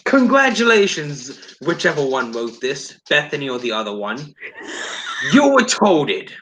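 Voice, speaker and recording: A man giving blunt, sarcastic, exasperated commentary as a co-host, casual and quick to swear. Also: fairly high pitch, wildly swooping pitch. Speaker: co-host reacting to articles. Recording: faint background noise.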